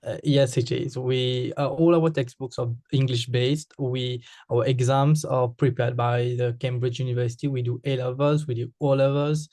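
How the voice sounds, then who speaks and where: A man's voice: medium pitch, steady tone, normal speed, hardly any pauses, darkish tone, no room sound. A man from Mauritius with a Mauritian accent, a Creole speaker answering interview questions, hesitant with frequent fillers and restarts.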